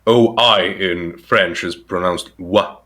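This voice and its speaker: A man in casual podcast talk, explaining things at length with many filler words and pauses. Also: sits low, bright, forceful tone. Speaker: podcast guest. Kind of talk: casual conversation.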